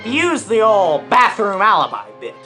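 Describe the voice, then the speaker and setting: A woman playing a blunt, wry detective in a scripted radio parody, with a deadpan, theatrical delivery. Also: mid-range voice, normal speed, soft background music. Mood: dry, probing. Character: blunt, wry detective narrating a murder investigation.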